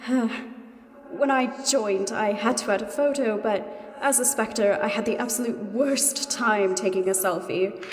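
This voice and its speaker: A woman's voice: fairly high pitch, measured pace, a little hiss or hum. A woman playing a chatty, wry two-hundred-year-old ghost in love, in a comedy audio drama, with a ghostly reverb on her voice. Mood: amused, excited. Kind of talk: mock dating testimonial in comedy audio drama. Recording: long echoing reverb on the voice.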